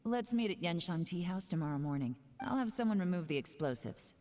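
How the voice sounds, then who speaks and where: Woman acting a low, mellow, sardonic female agent. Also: expressive, brisk, long reverb tail, lightly acted. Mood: calm, businesslike. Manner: composed, teasing, sardonic. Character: cool, shrewd young woman intelligence agent. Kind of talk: video game voice acting.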